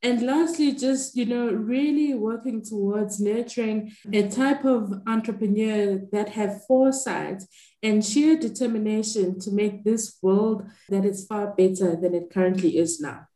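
A woman who leads a foundation speaks in a measured, earnest and formal way. Her tone is mellow and mid-pitched. The room around her sounds echoey. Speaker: foundation leader. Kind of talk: formal interview answer. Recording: roomy echo.

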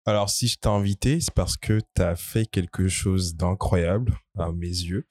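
A man's voice: sits low, fairly even intonation, a little mellow. A man hosting a podcast, introducing his guest earnestly and then asking questions with a few hesitant fillers. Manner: earnest, measured, many fillers when asking. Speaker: podcast host. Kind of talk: podcast interview, scripted intro.

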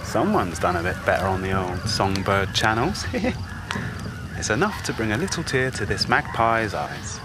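A man's voice: on the low side, very animated, moderate pace, background noise. A man playing a proud, sentimental magpie in an audio drama, chattering to himself in a theatrical, self-important way. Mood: proud, chatty. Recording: outdoor ambience with bird honks.

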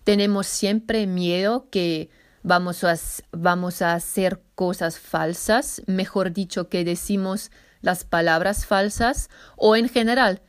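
A woman's voice: mid-range voice, fairly even intonation, slow pace, clean tone. A woman hosting a solo podcast in careful learner's Spanish, with a slight Argentine accent blended with others and small self-corrections. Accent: slight Argentine, mixed. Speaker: podcast host.

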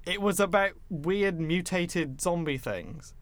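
A man's voice: sits high, takes it slowly, no echo. A man in his twenties chatting casually as a podcast co-host, opinionated and sweary. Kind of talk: casual chat about films and games.

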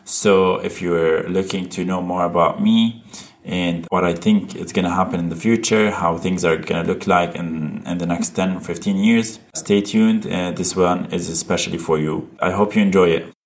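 A man's voice: low voice, steady tone, normal speed, a little hiss or hum. A man in his thirties, from Lebanon and raised in Abu Dhabi, hosting a podcast and answering interview questions in a loose, hesitant way, full of fillers. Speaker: podcast host being interviewed.

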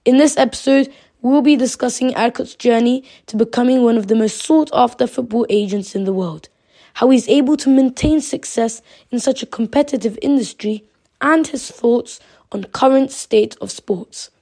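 A woman's voice: on the high side, normal speed. Woman reading a podcast intro. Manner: even, read-aloud delivery.

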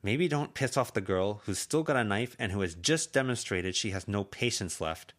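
A man's voice: fairly low pitch, expressive, moderate pace, a bit bright. A man reading an amateur audiobook, voicing a furious teenage girl who hurls bitter, cutting insults. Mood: hard, curt.

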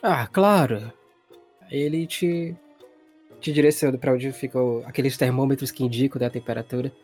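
A man's voice: medium pitch, moderate pace, darkish tone, faint music behind. A man acting as game master, describing scenes in a casual, conversational, explanatory way.

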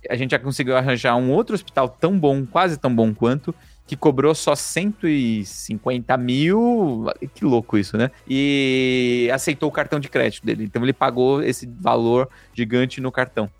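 A man telling a story in an emphatic, lively way, with swearing and rhetorical questions. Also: medium pitch, dry recording. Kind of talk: storytelling monologue.

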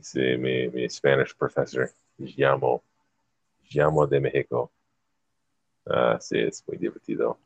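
A man hosting a casual chat in Spanish as a learner whose first language is English, halting and searching for words. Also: a bass voice, flat delivery, creaky, no room sound, dull audio. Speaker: podcast host.

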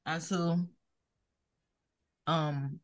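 A young woman from Nigeria co-hosting a podcast, talking animatedly in a casual chat, with quick swings in pitch and loudness. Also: fairly even intonation. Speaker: podcast co-host.

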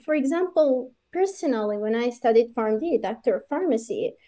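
Animated woman from Iraq, low-key tone. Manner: animated, many fillers and quick asides. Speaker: guest, pharmacist running licensure courses. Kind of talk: conversational interview.

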